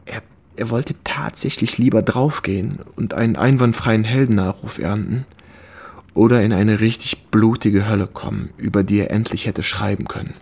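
An actor playing a weary American war reporter who reminisces candidly in a radio drama. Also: male voice, lowish voice, gravelly, darkish tone, slightly rough.

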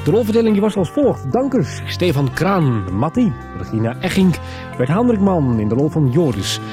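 A man's voice: fairly high pitch, very animated, moderate pace. A man reading scripted closing credits as a radio announcer. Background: prominent music.

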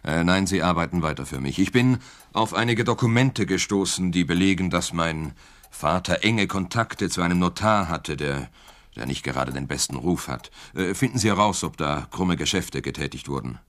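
A very deep male voice, a worried client in a detective radio play. He speaks hesitantly, as a troubled man confiding in someone he has hired. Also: animated, moderate pace, a bit bright. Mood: businesslike, hesitant.

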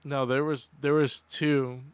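Male podcast panelist, clean resonant voice, dry sound, relaxed informal discussion. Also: medium pitch, measured pace, clean tone, dry recording. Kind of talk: casual group chat.

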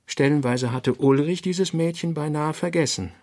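A man giving a scripted literary narration, reading out notes and drafts in deliberate fragments with pauses. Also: a little high, a little mellow, no room sound, studio quality. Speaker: radio drama narrator.

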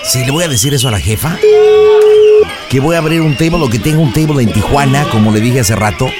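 A man's voice: medium pitch, fast talker, over loud music, a loud crowd. A Mexican man hosting a prank call show, improvising comic banter in a mocking, teasing, slangy way.

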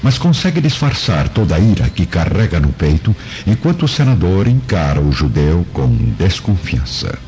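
Male radio drama narrator, very low, animated storytelling over clear musical backing, lo-fi. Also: normal speed, hardly any pauses. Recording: dull lo-fi audio with music.

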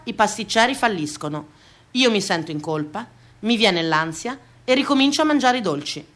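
A woman performing a theatrical comic monologue, expressive, with deadpan comic lists. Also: on the high side.

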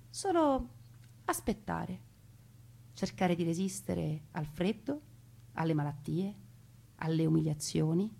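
Husky woman telling a dramatic story. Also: low-pitched. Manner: expressive, deliberate dramatic pauses and emphasis. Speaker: stage storyteller. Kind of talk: theatrical dramatic monologue, narration.

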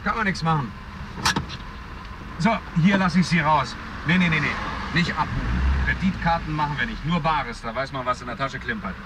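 An actor playing a chatty, resigned cab driver in a German radio drama, rambling in colloquial speech. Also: male voice, a little high, normal speed, natural voice. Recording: loud car and street noise.

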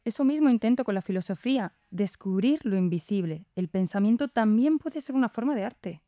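A woman playing a reflective, idealistic philosopher in an audio drama, speaking in a measured, contemplative way. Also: medium pitch, animated, warm tone, no echo, studio quality, close to an everyday voice. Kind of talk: scripted audio drama dialogue.

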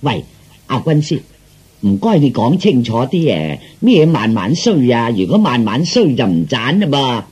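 A man's voice: mid-range voice, sing-song, unhurried, a little mellow, breathy edge, full character voice. A man playing a blunt, sceptical empress in a comic audio story, complaining in an earthy, sarcastic manner. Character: blunt, nagging, sceptical empress, wife of emperor.

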